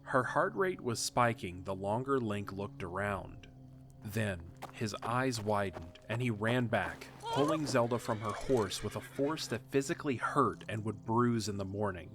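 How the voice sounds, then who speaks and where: A man narrating a fan audio drama with steady, measured reading. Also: fairly low pitch, background noise. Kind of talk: scripted story narration.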